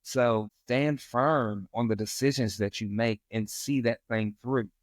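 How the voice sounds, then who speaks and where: A man's voice: medium pitch, a little slow, no echo, clean studio sound. A man speaking as a guest on a podcast, giving advice emphatically and repeating his points in casual talk. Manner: emphatic, preachy, repeats catchphrases. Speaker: guest, real estate investor.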